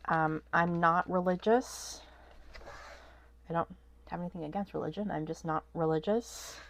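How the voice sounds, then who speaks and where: A woman's voice: fairly low pitch, fairly even intonation, moderate pace, creaky, slight background noise. A woman with a stuffy, nasal voice from a cold, chatting casually at her desk as a vlog host, rambling with lots of ums and restarts. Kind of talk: casual haul vlog chat. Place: at a home desk.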